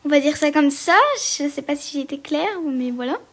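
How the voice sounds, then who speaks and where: A woman's voice: high voice, normal speed. A woman giving casual, hesitant commentary as she walks through a game, with lots of fillers. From Portugal. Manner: hesitant, many fillers, chatty. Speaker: gaming video creator.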